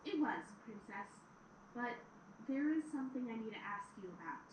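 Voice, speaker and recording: Woman as high-voiced young pony princess, echoey. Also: expressive, normal speed, lightly acted. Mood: earnest, hesitant. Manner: earnest, inquisitive, slightly awkward. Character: young female pony princess, earnest, eager student. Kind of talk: fan audio drama acting. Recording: echoey, distant, faintly noisy playback.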